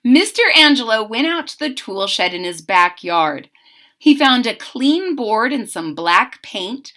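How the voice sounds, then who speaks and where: A woman reading a picture book aloud to children, expressive and breaking off for chatty asides to her listeners. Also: on the high side, measured pace. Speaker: story reader.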